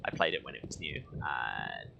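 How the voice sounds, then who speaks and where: A man's voice: extremely low pitch, big pitch swings, normal speed, gravelly, pushed and bright, a little hiss or hum. A man streaming a video game with casual, wry, grumbling commentary and a sardonic way of talking.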